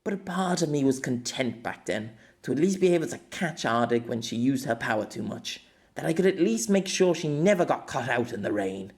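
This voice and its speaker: Man acting a wistful, self-deprecating man, lively pitch. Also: brisk, lightly acted.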